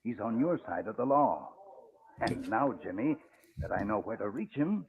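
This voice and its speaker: A man's voice: on the low side, sing-song, normal speed, a crowd in the background. An actor playing an emotional, proud old father in 1940s radio style, with impassioned, dramatic delivery. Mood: confidential. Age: older. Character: emotional proud old father, retired crime-fighting newspaper owner. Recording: muffled, narrow-band old radio recording.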